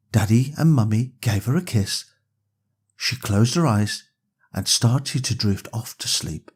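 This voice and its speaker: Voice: mid-range voice, slightly rough. A storyteller narrating a scripted bedtime story for young children.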